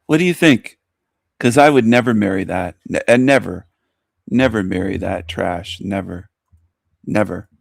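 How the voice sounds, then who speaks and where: Gravelly man, dry close sound. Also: fairly low pitch, a little slow, no echo. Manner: teasing, mock-ranting. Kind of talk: casual livestream chat.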